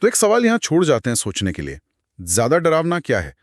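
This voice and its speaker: A man co-hosting a podcast, retelling a horror story in a dramatic, suspenseful way with pauses. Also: a little high, huge pitch swings, dry recording, studio quality. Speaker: podcast co-host.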